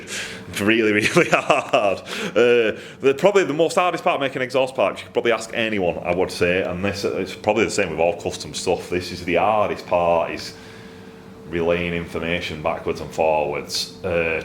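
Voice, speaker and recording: Northern English man, deep-pitched, casual tradesman vlogger thinking aloud, with some noise behind him. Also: a bit bright. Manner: rambling, thinking aloud, colloquial. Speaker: custom exhaust fabricator presenting his own workshop video. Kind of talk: casual, unscripted workshop vlog.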